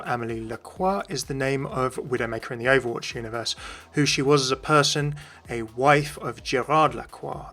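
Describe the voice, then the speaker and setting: A man's voice: medium pitch, fairly bright tone. A man hosting a video, reading scripted narration. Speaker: video host.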